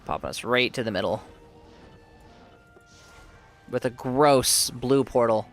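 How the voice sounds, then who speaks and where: A man chats casually through a live game stream, answering viewers between plays. His voice is mid-pitched and getting worn as his throat gives out, and faint game music plays behind him. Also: slow pace, gravelly. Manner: chatty, reads and answers viewers. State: losing his voice, sore throat. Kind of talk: casual live-stream chat while playing.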